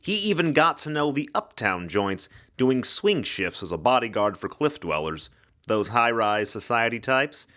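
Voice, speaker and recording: Male narrator for noir-flavored mystery fiction, mid-pitched, dramatic delivery with lively, wide intonation. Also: unhurried. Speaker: actor reading an audiobook-style story. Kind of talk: dramatic scripted narration of hard-boiled ghost mystery.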